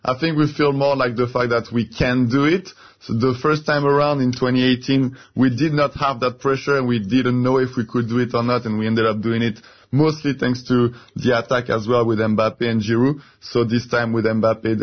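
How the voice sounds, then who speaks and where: A man's voice: medium pitch, flat delivery, normal speed, muffled recording. A man speaking as a guest in a radio interview, talking in a conversational, reflective way. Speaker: football fan guest.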